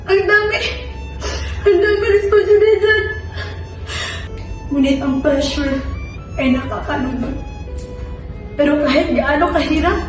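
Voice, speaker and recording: A young woman playing an overwhelmed, anguished student in an emotional, plaintive stage monologue. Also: high-pitched. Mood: tearful, desperate, then weary, resolute. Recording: very echoey room, distant mic, music.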